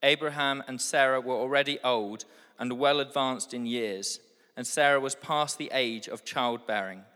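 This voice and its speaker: A man reading a scripture passage aloud at a steady, measured pace. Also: mid-range voice, smooth. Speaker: scripture reader. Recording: hall with long reverb.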